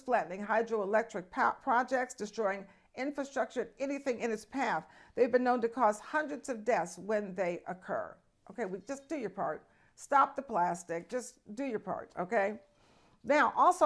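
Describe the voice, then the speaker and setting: A woman hosting a news show, reading the stories with chatty, emphatic asides to viewers. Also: a little high. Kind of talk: news commentary.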